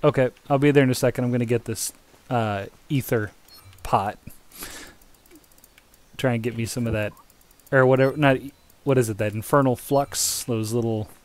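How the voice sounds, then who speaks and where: A man's voice: mid-range voice, fairly even intonation, normal speed. An American man chatting casually with a friend while playing an online game, rambling with lots of fillers. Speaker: gamer streaming with a friend.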